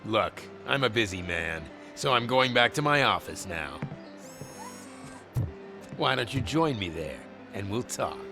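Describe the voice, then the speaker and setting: Smug, low-voiced shady businessman. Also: animated, unhurried, long pauses, over music. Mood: smug, sarcastic. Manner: dismissive, sarcastic. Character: smug, irritated shady businessman, evasive and taunting.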